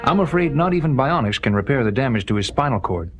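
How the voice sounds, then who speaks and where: An actor playing an authoritative government official in an audio drama, speaking formally and earnestly. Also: male voice, mid-range voice, lively intonation, quick pace, faint music behind, lightly acted. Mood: calm. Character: calm, authoritative government official, adult man.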